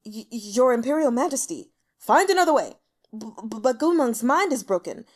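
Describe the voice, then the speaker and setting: A woman's voice: a little high, sing-song, moderate pace. A woman playing a timid, fearful elder male court healer who speaks formally and hesitantly. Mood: panicked. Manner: nervous, hesitant, stammering, formal.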